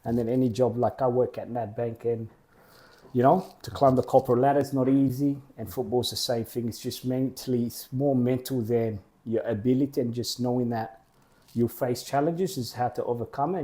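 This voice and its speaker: A young man, a football program co-founder, answering interview questions plainly in short, halting clauses. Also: mid-range voice, steady tone, mellow. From Australia.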